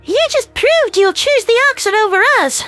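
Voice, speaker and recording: A feathered alien delegate, female and furious, hurls accusations in a piercing, very high voice that leaps around wildly, as a man puts her on. Her tone stays shrill and accusing throughout this audio drama. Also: animated, normal speed, bright, forceful tone, soft background music, exaggerated voice.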